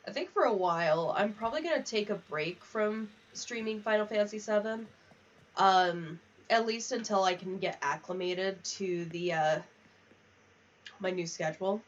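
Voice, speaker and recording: A woman's voice: medium pitch, moderate pace. A young woman chatting casually on a gaming livestream, rambling from one aside to the next. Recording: distant, slightly muffled mic.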